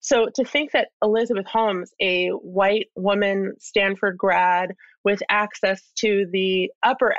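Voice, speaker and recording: A dry, slightly muffled recording of a woman with a mid-pitched voice. She talks as an interview guest, thoughtfully laying out her argument and stressing points in a conversational flow. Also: no echo. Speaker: interview guest, expert commentator.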